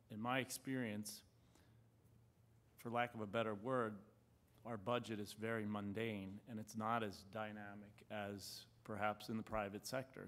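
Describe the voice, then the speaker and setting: Male council member, slightly low voice, deliberate explanatory delivery in a public meeting. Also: a bit bright. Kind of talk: measured discussion at a public budget meeting. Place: council chamber.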